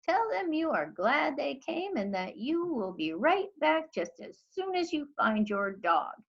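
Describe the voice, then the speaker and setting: A woman's voice: high-pitched, big pitch swings, unhurried, vocal fry, voice pushed up, heavily acted. Storybook elder woman, read by a female narrator: kindly, firm, earnest, folksy; slightly muffled recording.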